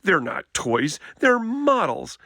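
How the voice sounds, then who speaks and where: A man plays a storybook boy bear cub who squabbles with his sister. He sounds defensive and indignant, with a raised, bright voice. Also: sits high, wildly swooping pitch, takes it slowly, no echo, studio quality, pitched above the performer's own voice, exaggerated voice. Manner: protesting, indignant.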